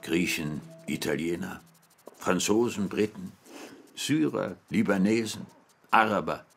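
An actor playing a genial, nostalgic older merchant in a radio drama, with a hesitant, reminiscing manner. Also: male voice, low voice, measured pace, vocal fry, a bit bright, faint music behind, full character voice.

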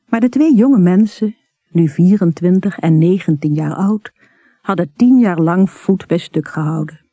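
Story narrator, husky, very dark and mellow, measured literary reading, dry sound. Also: medium pitch, animated, no room sound.

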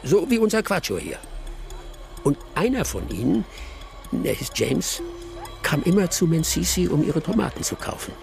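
An actor playing a genial older village storyteller in a radio drama, savoring his tale in an unhurried oral manner. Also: fairly high pitch, sing-song, a little mellow. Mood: absorbed storytelling. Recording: clear music behind the voice.

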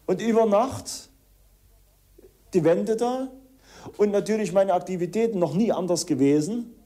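A man's voice: high-pitched, a little slow, dark tone. A man making a wordy, formal, indignant statement in his own defence. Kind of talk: self-justifying interview statement.

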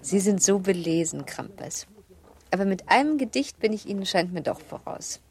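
An actress playing an uneasy young wife of the nineteenth century in a German radio play, speaking in a hushed, pensive, formal way. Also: female voice, medium pitch, expressive, moderate pace, lightly acted. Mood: wistful.

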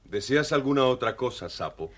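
A man's voice: mid-range voice, expressive, moderate pace, husky. A man playing a dignified, principled fatherly king in a children's audio storybook, formal and authoritative. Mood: courteous.

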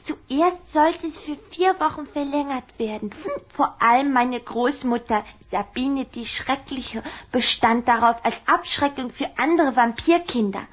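A woman playing an affectionate, excitable little vampire girl in a children's audio drama, coy and eager in manner. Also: sits high, unhurried. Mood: concerned.

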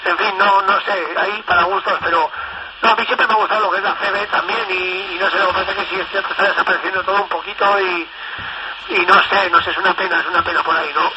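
A man's voice: high-pitched, fairly even intonation, fast. A man chatting casually over CB radio, enthusiastic and rambling, often repeating himself. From northern Spain. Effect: FM CB radio transmission. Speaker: amateur CB radio operator. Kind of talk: casual radio contact chat. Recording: noisy, dull CB radio transmission. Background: loud radio static and noise.

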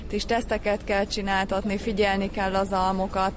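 A woman's voice: mid-range voice, little pitch movement. A woman giving matter-of-fact, informal expert explanations as an interview guest. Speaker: cat breeder and show judge, interviewee. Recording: clear noisy background behind speech.

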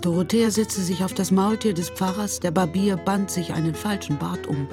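A woman's voice: fairly low pitch, steady tone, moderate pace, over music. A woman narrating a radio drama in a scripted storytelling style.

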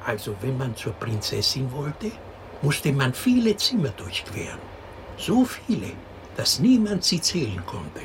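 An actor in a radio drama playing a storyteller who patiently tells a child a princess fairy tale, expressive and explanatory. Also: mid-range voice, measured pace. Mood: patient storytelling. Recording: noticeable background noise.

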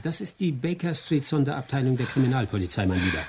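An actor playing a confident, amused master detective who lectures jovially in old radio drama style. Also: male voice, mid-range voice, big pitch swings, quick pace, noisy background. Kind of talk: 1960s radio drama acting.

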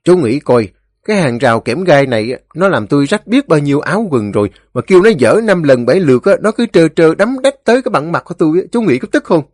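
A man voicing a belligerent, boastful village drunkard in a storybook, cursing roughly with a Southern Vietnamese accent. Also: medium pitch, animated, a little fast, fairly bright tone, no room sound, clean studio sound. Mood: indignant, ranting. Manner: blustering, cursing, rough rural speech.

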